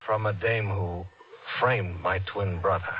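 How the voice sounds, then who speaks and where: A calm, understated elevator man with a dry, quiet delivery. The old radio recording is very hissy, and he sounds set back from the mic. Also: sits low, unhurried, lo-fi sound. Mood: cool. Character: calm elevator man, detective's twin brother. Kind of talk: 1950s radio drama acting.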